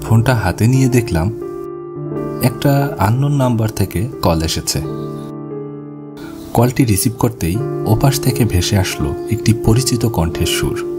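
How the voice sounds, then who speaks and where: A man's voice: lowish voice, expressive, unhurried, pauses often. A man reading scripted first-person ghost story narration for an animation. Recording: music bed behind the voice. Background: music.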